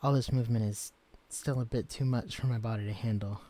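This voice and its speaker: A man voicing a gentle, shy young man who has been shrunk to tiny size, speaking softly and stammering when flustered. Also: medium pitch, fairly even intonation, a little fast, close to an everyday voice. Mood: sleepy. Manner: soft-spoken, hesitant, stammering when flustered. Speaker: character in a listener-directed romance audio. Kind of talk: audio roleplay drama.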